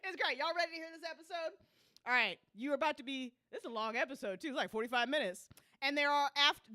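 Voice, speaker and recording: Female livestream host, high pitch, bright forceful voice, casual chat, informal, teasing. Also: no echo, clean studio sound. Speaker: host of a live listen party.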